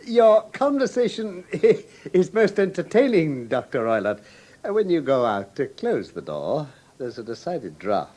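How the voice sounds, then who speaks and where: Posh english detective, old radio drama, witty and urbane, lots of lift in the voice. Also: male voice, fairly high pitch, huge pitch swings, measured pace, darkish tone, exaggerated voice. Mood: amused, unruffled. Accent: English Received Pronunciation. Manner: precise, urbane, commanding. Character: shrewd, unflappable, drily witty English gentleman detective. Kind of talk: classic radio drama acting.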